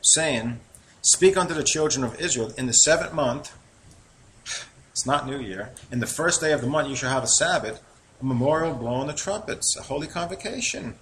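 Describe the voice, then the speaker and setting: A man with a New York accent, reading Bible verses aloud and explaining them in a relaxed, conversational teaching style. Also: medium pitch, normal speed, slight background noise, low-quality recording. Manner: reads scripture aloud then comments conversationally.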